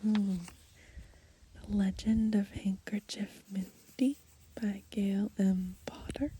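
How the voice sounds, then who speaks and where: A woman softly murmuring ASMR commentary as she browses a book, breathy and gentle, outdoors. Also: mid-range voice, level tone, slow pace. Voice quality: breathy, whispery. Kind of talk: ASMR, soft murmured commentary and title reading. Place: outdoors on grass.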